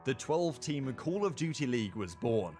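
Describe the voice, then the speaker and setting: A man reading scripted documentary narration in a steady, measured way. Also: mid-range voice.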